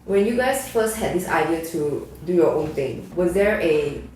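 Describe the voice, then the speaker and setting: A woman with a low voice hosts a podcast and puts her questions to the guests. She sounds like she is in a very echoey room, with a faint hiss behind her. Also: lively intonation, moderate pace, a little mellow. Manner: brief listening noises. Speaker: podcast host. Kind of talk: interviewing. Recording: very roomy echo, faint noise.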